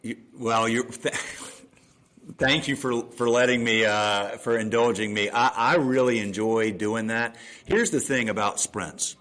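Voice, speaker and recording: A man's voice: medium pitch, measured pace, fairly bright tone. A man in his fifties hosting a podcast interview, talking casually and enthusiastically at a desk microphone, explaining ideas with everyday examples. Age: 50s. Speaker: podcast host. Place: room at a table with laptop.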